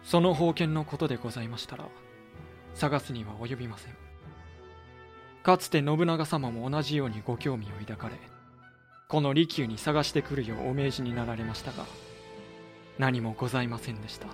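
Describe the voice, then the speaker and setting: A man's voice: mid-range voice, brisk, long pauses, soft background music, close to an everyday voice. A man playing a composed, guarded tea master who speaks formally and deferentially in a Japanese period audio drama.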